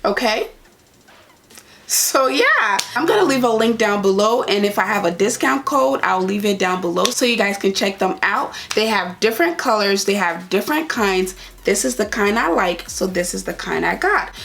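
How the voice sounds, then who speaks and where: A woman's voice: mid-range voice, animated, moderate pace, vocal fry, fairly bright tone, slight background noise. A young adult woman with a Liberian accent, chatting casually and directly to her viewers as a vlogger. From Liberia, raised partly in Ivory Coast. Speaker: vlogger answering viewer questions. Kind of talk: casual chat to camera.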